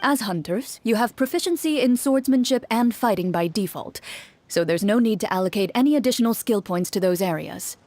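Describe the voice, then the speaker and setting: A woman voicing a composed young game master in a video game, measured and formal as she explains rules. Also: medium pitch, expressive, mellow, a little hiss or hum, lightly acted. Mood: calm, courteous. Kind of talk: game voice acting.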